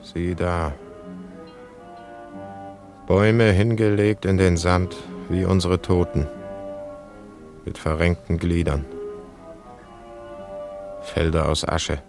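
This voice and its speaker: An actor playing a brooding man searching for a murderer in a poetic radio play, speaking in a measured, solemn, questioning way. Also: low voice, vocal fry, a little mellow, exaggerated voice. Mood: bleak, brooding. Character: brooding, searching mourner hunting a child's murderer.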